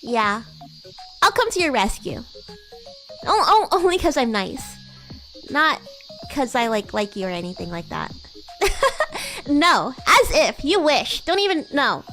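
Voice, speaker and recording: Woman playing a high-pitched bratty demon schoolgirl. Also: sing-song, unhurried, long pauses, pushed and bright, faint music behind, full character voice. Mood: teasing, tsundere. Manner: teasing, condescending, flustered tsundere denials. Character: bratty haughty young female demon schoolgirl. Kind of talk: improvised roleplay livestream.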